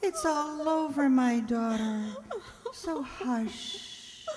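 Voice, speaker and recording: A woman in a radio drama playing a dying mother who hushes her daughter in a drawn-out, breathy, remembered voice. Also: sits high, heavy background noise. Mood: anguished, soothing. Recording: distant voice in reverberant hall.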